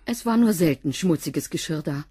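Blunt cleaning woman, lowish husky voice, dry sound. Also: expressive, moderate pace, mellow, no room sound, exaggerated voice. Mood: matter-of-fact. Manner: dry, matter-of-fact answers. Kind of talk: radio play acting.

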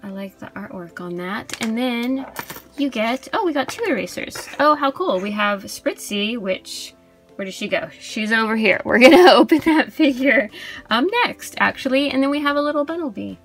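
A woman's voice: fairly high pitch, expressive, normal speed, fairly bright tone, breathy edge. A young adult woman casually hosting a toy unboxing, chatting in a chirpy, gushing way about cute things. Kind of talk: casual unboxing review chat. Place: indoors at a tabletop display. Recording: background music under voice. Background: music.

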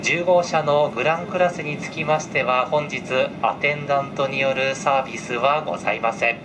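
A man working as a train conductor makes formal, very polite and measured announcements over the cabin speakers. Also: mid-range voice, steady tone. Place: inside a train car. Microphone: camera picking up cabin loudspeaker. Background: train ventilation and vehicle noise.

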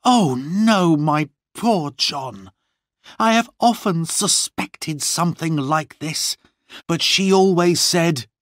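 A man plays a young noblewoman from a romantic storybook. The voice is lifted high and speaks with earnest, pleading emotion. It is loving and tender, with a readiness for indignation, in a dry, close sound. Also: expressive, takes it slowly, dry recording. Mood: indignant, shocked.

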